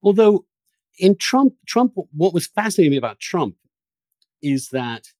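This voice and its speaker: A man hosting a podcast, talking through opinionated political points in a halting, emphatic way, restarting phrases as he goes. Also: sits high, very animated, darkish tone, no echo. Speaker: podcast host.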